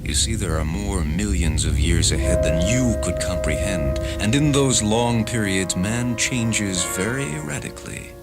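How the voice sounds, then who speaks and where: A man playing an eloquent, fervent parasitic time traveler from the far future in a radio drama, speaking in a deliberate, grandiose way. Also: low voice, expressive. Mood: insistent, confiding. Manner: grandiose, deliberate, relishing suffering. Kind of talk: radio drama acting over music. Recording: voice over a music bed. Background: clear background music.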